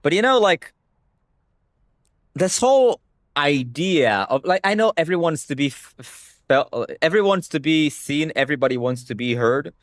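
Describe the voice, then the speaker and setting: A man's voice: on the high side, expressive, normal speed, no room sound, studio quality. A young man whose first language is Hebrew, talking bluntly to his stream chat and swearing freely. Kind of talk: casual livestream rant.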